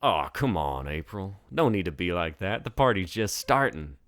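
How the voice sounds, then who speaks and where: A cocky male tourist from an audiobook-style story, acted by a man, casual and flirtatious. He coaxes in a low voice. The sound is dry and close. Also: sing-song, normal speed, a bit bright, no echo, exaggerated voice. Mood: cajoling, flirty. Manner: casual, cajoling.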